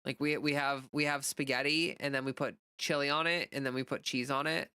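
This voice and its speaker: American woman, livestream host, casual rambling chat, bright clear voice, close dry sound. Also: rumbling low, fairly even intonation, fairly quick, dry recording. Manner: rambling, informal, frequently reading out and answering comments.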